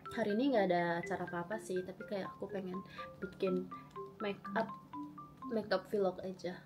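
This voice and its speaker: A young woman in her twenties, slightly hoarse from a recent cold, chatting casually and rambling with lots of fillers and giggles while she does her makeup at home. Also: medium pitch, fairly even intonation, normal speed, over music. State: recovering from a cold. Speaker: beauty vlogger. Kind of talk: casual makeup vlog chat.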